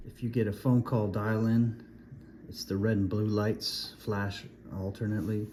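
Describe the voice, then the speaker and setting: A man's voice: fairly low pitch, little pitch movement, unhurried, very noisy. A man giving a casual, plainspoken hands-on product demonstration, explaining things step by step as a reviewer.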